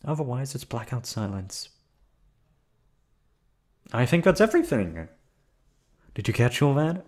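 A man's voice: medium pitch, measured pace, pitched above the performer's own voice. A man playing a cold, controlling yandere captor. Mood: cold menace. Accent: put-on accent. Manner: calm, controlling, coldly threatening. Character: menacing yandere male receptionist captor. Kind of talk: ASMR roleplay audio drama.

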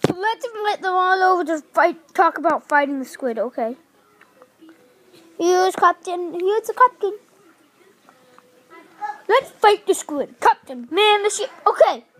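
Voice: extremely high pitch, animated, a little slow, long pauses, a bit bright, slight background noise. A child improvising a pirate puppet show, acting out the characters and chanting in a sing-song way.

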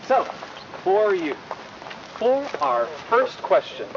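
A young man playing a cheery, faintly menacing high school news anchor in a 1990s horror broadcast, with formal, polished delivery. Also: very animated, takes it slowly, full character voice. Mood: formal, upbeat. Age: young adult. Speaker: news host. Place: outdoors in a forest at night. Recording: dull lo-fi VHS-style audio, faint noise.

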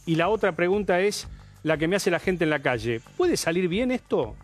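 A man on a TV panel, asking direct questions. His voice sits a little higher than most men's, with soft music in the background. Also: lively intonation, normal speed.

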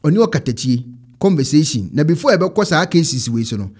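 Husky Ghanaian male reaction host. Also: a little high, big pitch swings, normal speed, darkish tone. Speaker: online reaction show host. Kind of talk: casual reaction commentary. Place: indoor studio setup. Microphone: close desk microphone.

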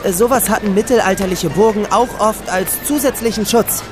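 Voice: mid-range voice, a little fast, over music, close to an everyday voice. A performer playing an eager boy who loves knights and proudly shows off what he knows, in a children's audio drama. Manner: enthusiastic, showing off what he knows.

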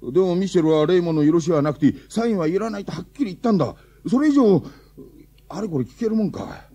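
Flustered defensive father, fairly high male voice, mellow, radio-drama acting, very animated. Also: fast, breathy edge, heavily acted. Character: excitable, defensive, hapless middle-aged father.

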